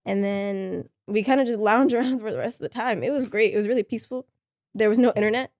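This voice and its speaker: Young woman guest, Somali her first language, easygoing storyteller, medium pitch, dry recording. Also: fast, darkish tone, dry recording. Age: young adult. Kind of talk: casual podcast conversation.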